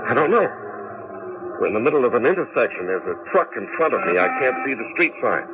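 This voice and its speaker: An excitable, halting cab driver with inventor's zeal played by a man in a 1980s radio drama. His fairly low voice swings freely in pitch. The dull broadcast recording carries a light noise. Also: close to an everyday voice. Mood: soothing, wry. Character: excitable male taxi driver and amateur inventor.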